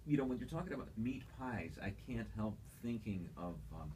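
Teasing American male host, swinging pitch. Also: animated, fairly quick, dark tone, slight background noise. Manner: joking, teasing, rambling. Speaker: radio host. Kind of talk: bantering talk radio interview. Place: radio studio.

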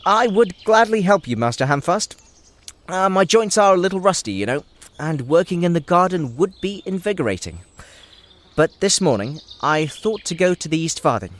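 Polite, whimsical older male hobbit man acting for audio drama; genial, musing, lively intonation. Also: high-pitched, normal speed. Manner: courteous, musing, hesitant openings.

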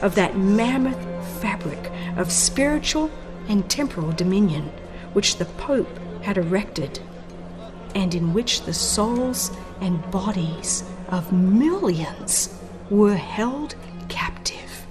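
A woman reading scripted dramatic audiobook narration in a formal, solemn and deliberate storytelling manner. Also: medium pitch, lively intonation. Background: background music.

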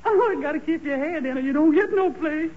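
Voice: high-pitched, close to an everyday voice. An actor playing a jolly, boisterous housemaid in a 1940s radio comedy, folksy and exuberant. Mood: cheerful, deferential. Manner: folksy, exuberant, laughing, drawn-out exclamations. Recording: noisy, muffled 1940s radio recording.

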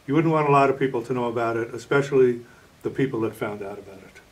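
A man's voice: medium pitch, fast talker, a little mellow, far from the mic. A man in his sixties answering questions as an author in an interview. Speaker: novelist and playwright being interviewed.

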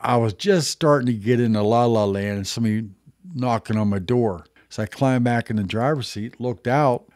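A man's voice: medium pitch, moderate pace, darkish tone, no echo. Folksy older man, dry close sound. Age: 60s. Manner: folksy trucker slang, plainspoken. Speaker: video host, veteran truck driver. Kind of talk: casual storytelling and advice to camera. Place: small indoor home studio.

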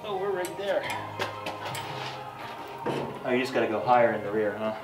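A man working in a garage, chatting casually and thinking out loud as he goes. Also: medium pitch, very animated, moderate pace, over loud music. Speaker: garage host. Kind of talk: casual chat while working. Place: garage workshop. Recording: roomy, distant mic, clear background noise.

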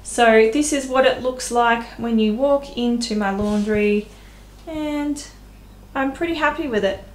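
A woman's voice: fairly high pitch, unhurried. A woman, giving a casual, candid tour of her small laundry room as a home decor vlogger, filmed on a handheld camera. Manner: chatty, candid, self-deprecating. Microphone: handheld camera at close range. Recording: echoey small room, faint room hum.

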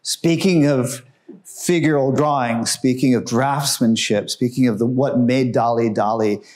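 A man giving an informal, enthusiastic talk about artworks in a museum room, growing hushed and solemn at the tragic pieces. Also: medium pitch, steady tone, a little slow. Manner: enthusiastic, conversational, drops his voice for solemn works. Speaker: museum guide presenting artworks. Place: museum gallery room, indoors.

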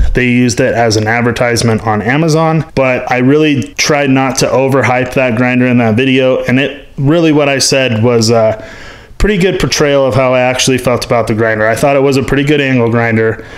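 A man's voice: mid-range voice, steady tone, brisk. A man giving a casual, unscripted spoken review of his tools, frank and rambling, with plenty of ums and restarts. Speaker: workshop YouTuber reviewing tools. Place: metal-walled home workshop.

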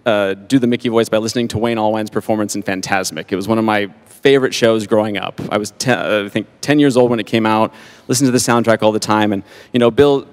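A man's voice: lowish voice, fairly even intonation, fast talker. A man in his forties reminiscing casually on a panel, on a handheld mic in a hall. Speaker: voice actor on a panel. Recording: reverberant hall PA.

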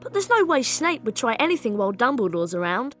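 A woman's voice: on the high side, big pitch swings, fairly quick. A woman voicing a plucky, nervous young schoolboy wizard in a video game, boyish and plain-spoken. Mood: uneasy, determined. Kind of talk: scripted video game voice acting. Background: loud game music.

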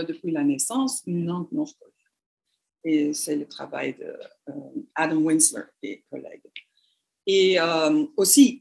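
A woman's voice: medium pitch, darkish tone. A woman giving a lecture over slides by webcam, speaking French as a second language, with frequent fillers and restarts. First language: not French. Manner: hesitant, many fillers and restarts. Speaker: university lecturer.

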